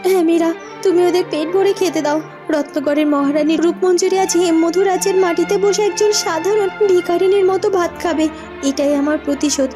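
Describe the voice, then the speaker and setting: A woman's voice: very high voice, fairly even intonation, fairly quick. A woman playing a righteous, dignified young princess in a fairytale cartoon, speaking with earnest, dramatic reproach. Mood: firm, righteous. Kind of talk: melodramatic fairytale cartoon acting. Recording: music under the voice.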